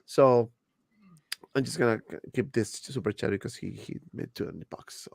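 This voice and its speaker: A man's voice: medium pitch, normal speed, gravelly, darkish tone, breathy edge, no room sound. A man hosting a casual livestream, laughing often as he reads out viewer super chats. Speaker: livestream host.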